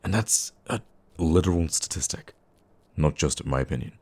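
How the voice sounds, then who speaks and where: A man playing a gruffly caring, teasing supervillain who comforts a nemesis in an intimate ASMR roleplay, with a deep, gravelly voice. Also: expressive. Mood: indignant, reassuring. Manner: soft-hearted, teasing, halting when flustered.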